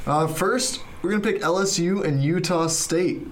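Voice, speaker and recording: A man's voice: sits high, normal speed. Young man, college sports podcast co-host, casual teasing chat, studio mic. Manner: joking, teasing.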